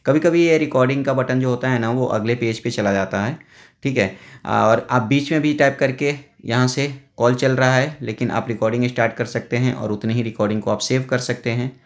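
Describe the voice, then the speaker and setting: Chatty man walking through phone settings. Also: mid-range voice. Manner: chatty, explains step by step with frequent "na" and "thik hai". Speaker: tech tutorial host. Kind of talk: casual phone how-to voice-over. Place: indoors at a table, filming a phone on a stand.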